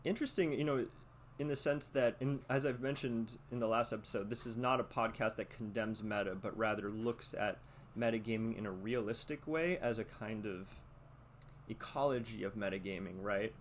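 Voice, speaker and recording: A man hosting a podcast monologue, speaking in a halting, deliberate and reflective way. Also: on the low side. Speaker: podcast host. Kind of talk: podcast monologue with read-out letter and commentary. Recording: noticeable background noise.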